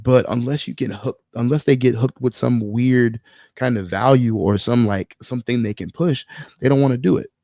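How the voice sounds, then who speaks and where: A man co-hosting a casual podcast, speaking in an opinionated, emphatic way with swearing and halting pauses. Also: medium pitch, warm tone, no echo, highs cut off. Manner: profane, emphatic, halting with pauses mid-thought. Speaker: podcast co-host. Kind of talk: casual film discussion, opinionated ranting.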